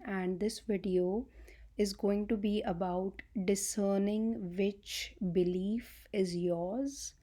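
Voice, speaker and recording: A young woman talks gently to her audience at a slow, measured pace. Her voice is mellow and warm, with many fillers as she explains, and she records without a proper microphone. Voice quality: low-pitched voice by her own account. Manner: soft-spoken, slow and explanatory, frequent fillers. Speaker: channel host and healing coach. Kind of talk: casual talk to camera. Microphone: no microphone, recorded on device.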